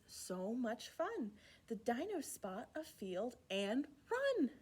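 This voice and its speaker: A woman's voice: on the high side, a little mellow, slightly rough. A woman storyteller reading aloud and leading singalongs for young children in a playful, encouraging, exaggerated way.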